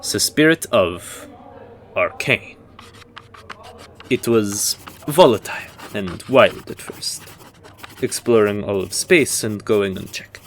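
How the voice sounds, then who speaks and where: A man playing a measured, scholarly university professor who lectures formally and deliberately in a fantasy audio roleplay. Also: fairly low pitch, expressive, a little hiss or hum. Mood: lecturing, measured. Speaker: professor giving a history lecture.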